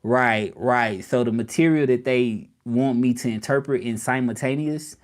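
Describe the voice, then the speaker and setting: A man's voice: medium pitch, moderate pace. Rambling Southern man chatting live. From Memphis, Tennessee, US South. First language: English. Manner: rambling, repeats himself, questions the viewers directly. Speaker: live streamer. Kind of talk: casual live stream chat.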